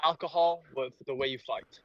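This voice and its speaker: A man from Belgium, a native French speaker with an accent to match, hosting a casual fan discussion in a rambling, halting way. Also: medium pitch, fairly even intonation, no echo, muffled recording. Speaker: host.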